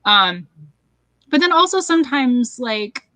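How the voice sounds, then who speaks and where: A middle-aged woman, a guest author giving halting, reflective answers in a casual interview over a headset. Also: on the high side, very animated, bright, forceful tone, dry recording. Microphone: headset on a video call.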